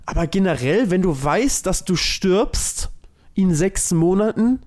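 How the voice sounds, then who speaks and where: A man's voice: high voice, expressive, measured pace, a little mellow. A man co-hosts a casual podcast. He talks colloquially, explaining things and offering opinions as in everyday conversation. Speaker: podcast co-host.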